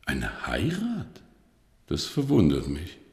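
An actor playing a worldly, evasive medieval bishop with an unctuous, formal manner in a radio drama. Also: male voice, huge pitch swings, slow, darkish tone, heavily acted. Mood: courteous, guarded. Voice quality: gravelly, very deep. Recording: reverberant stone-hall sound.

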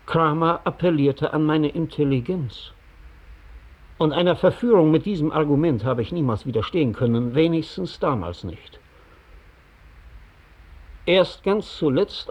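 Husky, bitter ex-informant. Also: male voice, on the high side, a little mellow, lo-fi sound, full character voice. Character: bitter, reflective male former Gestapo informant recounting his past. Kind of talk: mid-century radio drama monologue.

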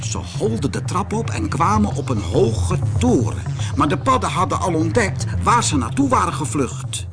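A man narrating a scripted children's fairy tale in an expressive, dramatic way. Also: high voice, normal speed, over loud music. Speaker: storyteller.

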